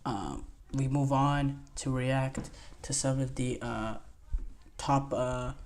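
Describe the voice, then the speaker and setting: A man's voice: mid-range voice, takes it slowly, darkish tone, a little hiss or hum. A twenty-year-old man hosting a solo sports podcast, talking in a rambling, enthusiastic way with lots of fillers and restarts. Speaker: podcast host.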